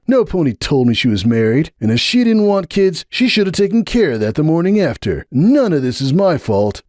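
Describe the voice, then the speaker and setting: A man's voice: fairly high pitch, dry recording, studio quality, pitched above the performer's own voice. A man voicing a brash, hot-tempered young stallion. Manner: defiant, sarcastic, rising to shouts. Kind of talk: dramatic audiobook reading.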